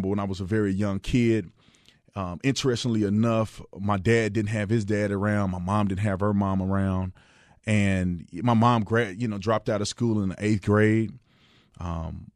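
A man in his thirties from Texas, hosting his own radio show in a relaxed, chatty storytelling way with frequent restarts. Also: on the low side, no echo, studio quality. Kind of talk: casual radio monologue.

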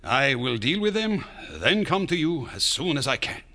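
An actor playing a brave, commanding Spanish priest in a radio drama, firm and dryly sarcastic. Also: male voice, fairly high pitch, very animated, moderate pace, sharp tone, heavily acted.

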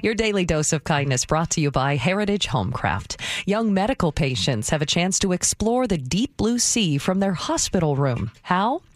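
A woman hosting live radio news, talking in a chatty, expressive conversational style. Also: on the low side, moderate pace, no echo, studio quality.

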